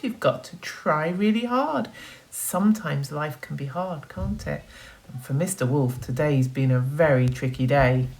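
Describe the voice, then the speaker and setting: A woman's voice: low voice, a little mellow. A woman teacher reading a children's story expressively, with dramatic emphasis and questions put to her young listeners. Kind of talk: animated children's storytelling with asides.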